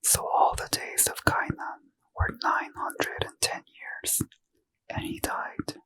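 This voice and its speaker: A man reading scripture aloud as a soft, slow ASMR whisper, gently lilting. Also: rough recording. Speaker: reader.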